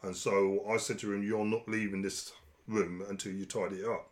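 Deep-voiced guy, earnest, firm, talks things through candidly. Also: flat delivery, normal speed. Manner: earnest, firm, rises when pressing a point. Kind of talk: candid conversation.

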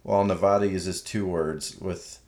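A man's voice: deep voice, steady tone, normal speed, darkish tone. Chatty man with a slight Southern lilt. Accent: slight Southern American. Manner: chatty, explains research with many fillers. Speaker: podcast co-host. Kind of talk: casual sports chat.

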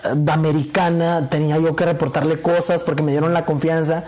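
A young man speaks as a podcast guest. His voice sits a little high for a man. He talks in a loose, chatty way and keeps filling his pauses. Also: level tone, darkish tone. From Mexico. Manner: chatty, many fillers like "este" and "vaya". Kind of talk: casual conversation.

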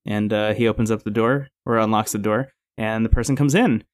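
A man from New Jersey hosting a podcast, recapping a show in relaxed casual chat. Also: fairly low pitch, brisk. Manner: relaxed, leads the recap with asides. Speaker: podcast host.

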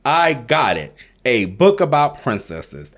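Brief: a man putting on a bright, playful young sister for a storybook, unhurried. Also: mid-range voice, very animated, voice pushed up, exaggerated voice. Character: cheerful little girl, younger sister.

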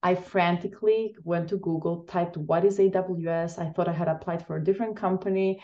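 A woman from Albania who is a guest on a podcast, telling her story thoughtfully with frequent pauses. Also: on the low side, steady tone, warm tone, lo-fi sound. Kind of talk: interview storytelling.

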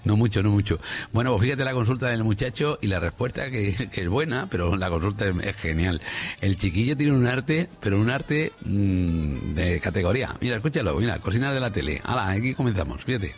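A man's voice: on the low side, animated, brisk. A man with a Spanish accent from Spain chatting casually and humorously on the radio, rambling, repeating himself and checking for agreement. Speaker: radio show contributor.

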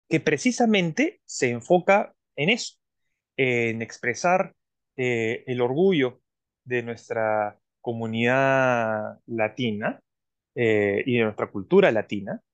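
Measured male host, mid-pitched. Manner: measured, many fillers and restarts, drawn-out phrases. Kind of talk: interview.

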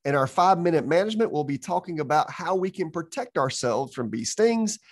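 A man's voice: a little high, lively intonation, fairly quick, dry recording, clean studio sound. A man hosting an interview podcast, upbeat and enthusiastic. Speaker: podcast host.

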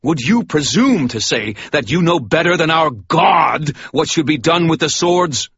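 A man voicing a stern middle-aged holy warrior who preaches loudly and righteously. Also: fairly high pitch, animated, unhurried, vocal fry, slightly rough, no echo, highs cut off, pitched above the performer's own voice, heavily acted. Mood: righteous fury.

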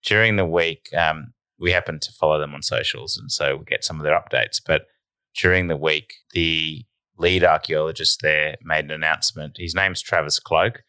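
A man co-hosting a podcast, deadpan and a bit nasal, telling a mock-serious tall tale. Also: rumbling low, moderate pace, clear, resonant tone, dry recording. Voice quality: nasal at times. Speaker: podcast co-host. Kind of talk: casual podcast banter.

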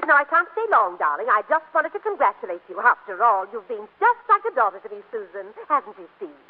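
High-voiced gushing older aunt. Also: female voice, big pitch swings, fast. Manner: effusive, imperious, fussy. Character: gushing, oblivious, overbearing older aunt and mother-in-law. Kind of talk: 1940s radio comedy acting. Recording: tinny old radio recording.

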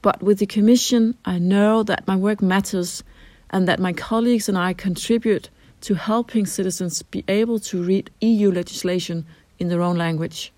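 A middle-aged Danish woman with a Danish accent, answering interview questions in a measured way with frequent ums and restarts. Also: mid-range voice, steady tone, a little mellow, dry recording. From Denmark. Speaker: translator interviewee.

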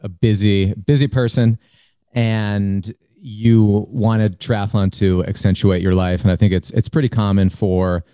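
A man hosting a podcast, reading a measured scripted introduction and then interviewing his guest, with small restarts. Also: lowish voice, fairly even intonation, dark tone, clear, resonant tone, no room sound, clean studio sound. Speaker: podcast host.

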